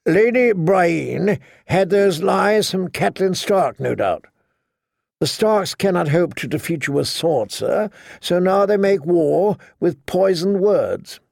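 Man doing a timid, high-pitched fawning knight. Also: animated, slow pace, gravelly, darkish tone, no room sound, studio quality, exaggerated voice. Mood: anxious, placating. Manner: pleading, ingratiating. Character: timid, fawning noble cousin, a male knight.

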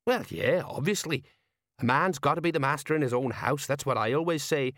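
A man's voice: medium pitch, fairly quick, a bit bright, dry recording, clean studio sound, voice pushed up, close to an everyday voice. A man voicing an earnest, bumbling police sergeant with colloquial, ingratiating speech, as in an audiobook. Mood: smug. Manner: colloquial, dropped letters, ingratiating.

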